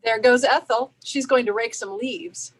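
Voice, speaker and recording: A woman's voice: fairly high pitch, measured pace, low-quality recording. A woman playing a watchful, nosy suburban housewife in a staged play reading. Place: video call.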